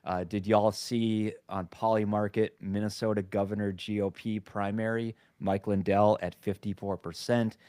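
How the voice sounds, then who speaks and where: Podcast guy with a lowish voice, dry mic, tells stories with a million "likes". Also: moderate pace, hardly any pauses, no room sound. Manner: animated storytelling, full of "like". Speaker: podcast co-host. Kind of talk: casual panel chat.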